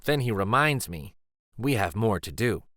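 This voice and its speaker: A man reading a children's picture book aloud with expressive, deliberate storytelling delivery. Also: fairly low pitch, no room sound, clean studio sound.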